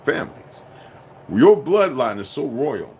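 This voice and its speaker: A man rants straight to his viewers in a profane, preachy and insistent way. His pitch swings widely as he pushes each point, and a faint hiss sits under his voice. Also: big pitch swings, measured pace, darkish tone. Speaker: commentator. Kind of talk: ranting monologue to viewers.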